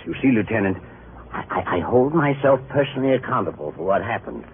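An actor playing a fussy, apologetic sanitarium director with hesitant, formal, repetitive speech in a 1950s radio drama. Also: male voice, lowish voice, expressive, fairly quick, a little hiss or hum, natural voice. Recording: phone-like old radio broadcast, music behind.

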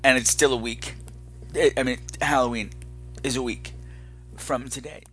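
A man's voice: mid-range voice, steady tone, moderate pace, pushed and bright, husky, faint music behind. A man hosting a podcast in a candid, unscripted monologue, dramatic and emphatic, sounding weary and exasperated. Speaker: podcast host.

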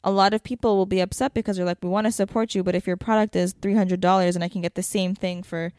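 A woman's voice: mid-range voice, steady tone, quick pace, darkish tone, dry recording, studio quality. A young woman in casual conversation, chatty and rambling, filling her talk with "like" and trailing off mid-thought. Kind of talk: casual chat.

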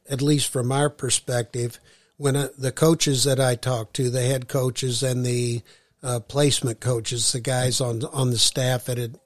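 A middle-aged man speaks as a baseball general manager being interviewed, working through his answers in long, measured sentences. His pitch barely moves, staying flat and even from phrase to phrase. The recording is dry, with no room sound around him. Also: no room sound. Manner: measured, thinking aloud in long winding sentences. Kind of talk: interview guest.

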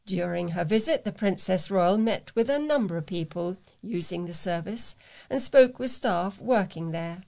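A woman's voice: lowish voice, animated, normal speed, vocal fry, darkish tone. A woman reading local news as a volunteer newsreader, in a careful manner.

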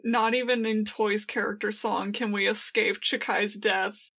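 A woman's voice: medium pitch, steady tone, a little slow, gravelly, fairly bright tone, slightly rough. A young woman co-hosting a casual fan podcast, tossing in playful, wry quips. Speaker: podcast co-host.

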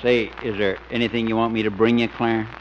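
An actor playing a folksy, joking small-town marshal with rustic talk in 1950s radio comedy style. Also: male voice, on the low side, flat delivery, quick pace, close to an everyday voice. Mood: genial. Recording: muffled 1950s radio recording, faint noise.

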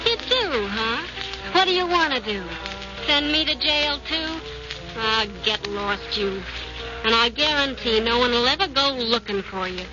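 A tough young American moll in old-time radio crime drama, sassy and scornful. Her voice sits fairly high on a muffled vintage recording with loud music. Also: lively intonation, unhurried. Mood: defiant. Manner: slangy, sassy. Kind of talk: 1940s radio acting.

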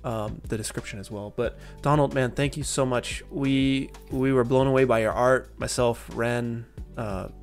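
A man's voice: mid-range voice, steady tone, moderate pace, hardly any pauses, soft background music. A young man hosting a live online interview, casual and enthusiastic, with laid-back slang. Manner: upbeat, gushing praise, laid-back slang. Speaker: livestream host.

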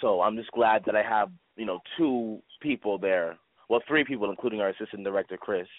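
A man's voice: on the low side, brisk, no room sound. A man being interviewed as a stage actor, chatting in an informal, appreciative way. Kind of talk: casual interview chat. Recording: dry phone-like lo-fi radio sound.

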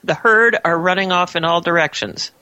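A woman co-hosting a business podcast who jumps in with lively interjections and prompting questions. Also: on the low side, moderate pace, no room sound, dull audio. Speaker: podcast co-host. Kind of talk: conversational business talk.

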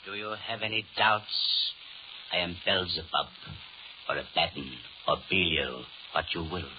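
Radio horror Devil: low, sweeping delivery, courtly menace, muffled and noisy old broadcast. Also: male voice, lively intonation, measured pace. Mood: gloating, grand. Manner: formal, courtly, menacing, theatrical. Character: suave, sinister Devil, mocking and commanding. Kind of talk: 1930s radio horror acting.

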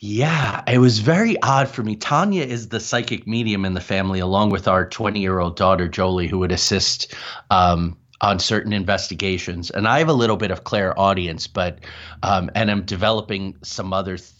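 A middle-aged man telling a personal story as an interview guest, haltingly and correcting himself as he goes. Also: low voice, fairly bright tone. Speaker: guest, paranormal investigator. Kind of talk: conversational personal anecdote. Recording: dull, lo-fi sound.

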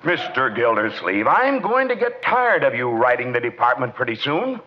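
An actor playing a stolid, well-meaning, awkward police chief in a 1940s radio comedy. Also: male voice, mid-range voice, very animated, natural voice. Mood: matter-of-fact. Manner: halting, awkward, throat-clearing. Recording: muffled, faintly noisy 1940s radio.

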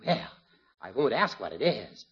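An excitable older judge in a radio sitcom. His prim, formal speech leaps wildly up and down in a husky voice, on a muffled vintage recording. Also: medium pitch, measured pace, exaggerated voice. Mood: curious. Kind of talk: 1950s radio situation comedy acting.

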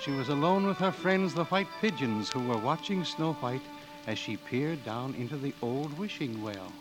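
An actor playing a storybook narrator, telling a fairy tale in a measured, dramatic 1940s radio style. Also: male voice, medium pitch, lively intonation, natural voice, poor audio. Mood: storytelling. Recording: old radio, music behind.

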